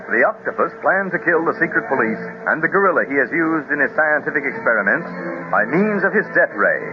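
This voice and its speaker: A man's voice: on the high side, very animated, fairly quick. A man narrating a 1930s radio adventure serial in a dramatic, declamatory manner. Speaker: announcer and narrator. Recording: dull old 1930s radio recording with music.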